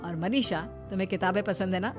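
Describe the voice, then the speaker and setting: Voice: mid-range voice, quick pace, music in the background, close to an everyday voice. A performer playing a protective, authoritative elder brother in a family story. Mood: kindly.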